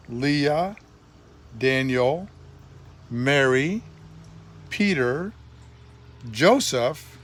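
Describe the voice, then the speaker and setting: An older man, a grandfather, reading a children's Bible storybook aloud in an expressive way, with deliberate phrasing and emphatic pauses. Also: on the high side.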